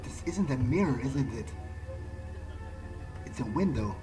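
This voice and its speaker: A man's voice: mid-range voice, animated, a little slow, over music. A man doing in-character let's play commentary, talking to himself conversationally as he reacts to the game.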